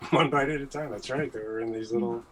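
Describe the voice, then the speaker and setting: A man's voice: mid-range voice, fairly quick, a bit bright, poor audio. A man appearing as a guest, casually reminiscing. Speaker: guest, former computer worker.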